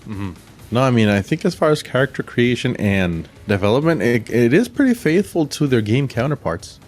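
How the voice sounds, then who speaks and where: Man, average pitch, podcast co-host, casual review conversation, agreeable, quiet music underneath. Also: very animated, moderate pace. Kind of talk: casual movie review chat.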